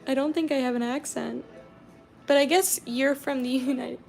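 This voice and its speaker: Young American woman from Georgia, high-pitched and very gravelly, chatty livestream host who murmurs while she paints. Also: steady tone, moderate pace, faint music behind. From Georgia, USA. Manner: chatty, reads viewer comments aloud, drops to soft murmurs while painting. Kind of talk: casual livestream chat.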